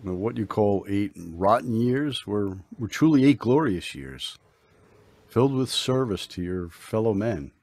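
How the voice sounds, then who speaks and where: An actor playing a kindly, serene doctor in an old-time radio drama, speaking in an earnest, formal, uplifting way. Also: male voice, fairly low pitch, lively intonation, unhurried, darkish tone, dry recording. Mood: gentle, hopeful. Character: kindly, serene, mysterious male hospital doctor.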